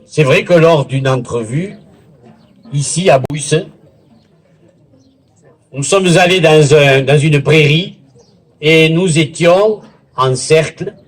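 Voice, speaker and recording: A man giving a formal public speech as a local official, in a deliberate, theatrical oratorical manner with pauses and a husky voice. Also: a little high. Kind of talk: formal public speech at a village festival, with literary recitation. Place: village festival in Bouisse, addressing a gathering.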